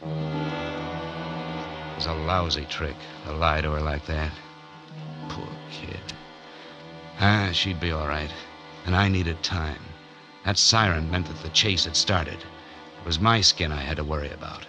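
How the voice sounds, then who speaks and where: A man's voice: a bass voice, fairly even intonation, slow pace, long pauses, muffled recording. An actor playing a wary, world-weary man on the run in a 1940s radio noir, with clipped, terse lines. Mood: weary, reassuring. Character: wary hard-boiled man on the run, posing as detective. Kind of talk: 1940s radio noir first-person narration and acting. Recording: 1940s radio drama with music behind.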